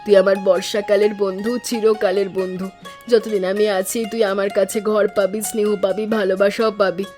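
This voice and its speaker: A woman playing a gentle, wise, doting village aunt in a cartoon story, with a tender, reflective way of talking. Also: mid-range voice, flat delivery, a little fast, close to an everyday voice. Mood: loving. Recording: music under the voice.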